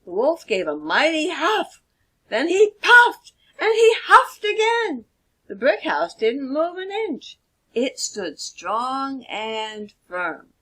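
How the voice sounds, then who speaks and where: A woman narrating a children's storybook expressively, with deliberate, dramatic emphasis. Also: high voice, very animated, a bit bright. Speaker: author reading own children's book.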